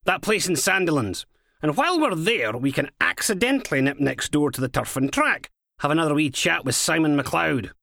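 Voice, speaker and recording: Audiobook role: male reader as scornful Scottish female inspector, raised pitch, animated, cynical and sweary. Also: normal speed, creaky, no echo, clean studio sound, exaggerated voice. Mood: scheming, needling. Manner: sweary, sardonic, uses Scottish slang. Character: brash, foul-mouthed, cynical female police inspector, scornful and impatient.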